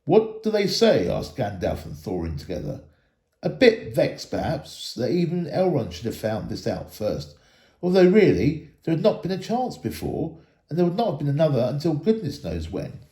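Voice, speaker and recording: A man reading a children's story aloud in an expressive storytelling style. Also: on the high side, normal speed, roomy sound. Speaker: storybook reader, school staff member.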